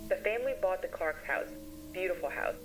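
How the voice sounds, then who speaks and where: An actress playing a composed child therapist, recording case notes in a matter-of-fact, conversational way for a scripted horror story. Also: female voice, lowish voice, lively intonation, brisk, over loud music, lightly acted, low-quality recording. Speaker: therapist narrator. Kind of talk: scripted analog horror narration.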